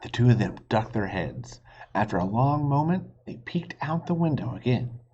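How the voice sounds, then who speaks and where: A man's voice: medium pitch, very animated, moderate pace, dark tone, slight background noise. A man reading a children's storybook aloud expressively, like a teacher, on a slightly muffled recording. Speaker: reader, teacher.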